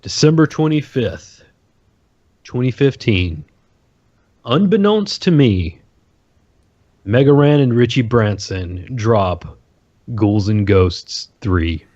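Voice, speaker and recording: A man co-hosting a podcast in casual chat, laid-back and quick to laugh. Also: medium pitch, sing-song, darkish tone, no room sound, lo-fi sound. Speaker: podcast co-host.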